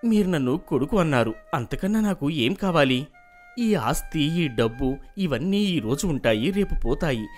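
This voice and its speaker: A man's voice: a little high, lively intonation, normal speed, soft background music, lightly acted. A man voicing a humble, good-hearted young farmhand in a folk tale, earnest and respectful. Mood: earnest, gentle. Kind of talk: acted folk tale dialogue.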